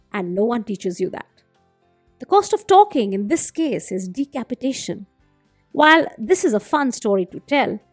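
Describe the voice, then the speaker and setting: A woman storyteller hosting a folktale show, narrating and commenting expressively with dramatic, deliberate phrasing. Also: on the high side, a little mellow, no room sound, clean studio sound. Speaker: storyteller and podcast host.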